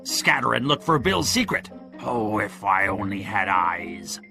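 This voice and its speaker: A man voicing a furious, bitter old blind pirate villain who bullies and curses in a gravelly, piercing voice for an adventure storybook. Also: lowish voice, big pitch swings, a little slow, soft background music, full character voice. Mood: frustrated. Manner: shouted commands and curses.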